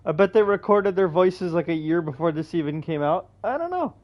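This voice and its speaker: Lively, slangy game streamer, muffled mic. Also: medium pitch, brisk. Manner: slangy, laid-back reacting. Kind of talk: casual playthrough commentary. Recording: dull lo-fi mic, faint music.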